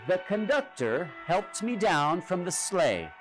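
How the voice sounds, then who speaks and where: A man reading a children's story aloud in a theatrical, expressive way with drawn-out pauses. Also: sits high, poor audio. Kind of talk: dramatic children's storytime narration. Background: music and sound effects.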